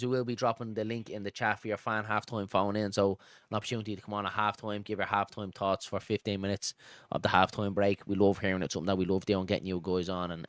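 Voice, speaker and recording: A man's voice: deep voice, fairly bright tone, smooth, no room sound. A man with a Dublin Irish accent doing live football commentary and informal, matey chat as host of a fan watchalong stream. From Dublin, Ireland. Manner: informal, matey, addresses viewers as pal and buddy.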